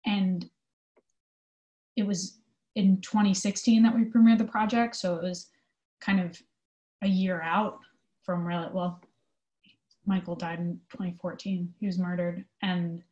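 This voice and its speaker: A woman speaking as an interview guest, giving thoughtful, conversational answers in an earnest, halting way with emphasis on key words. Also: fairly low pitch, a little mellow, dull audio. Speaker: interview guest, theatre company manager.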